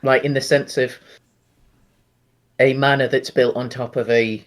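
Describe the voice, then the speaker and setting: A man's voice: mid-range voice, level tone, a little slow. A man co-hosting a casual film discussion, talking in an explanatory, hedging way. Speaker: podcast co-host.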